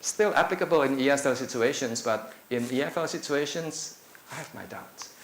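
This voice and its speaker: Middle-aged Indonesian lecturer, native Indonesian speaker, average pitch, chatty with filler pauses; echoey room with faint hiss. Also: male voice, fairly bright tone. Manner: conversational, with many filler pauses. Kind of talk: conference talk. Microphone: handheld mic.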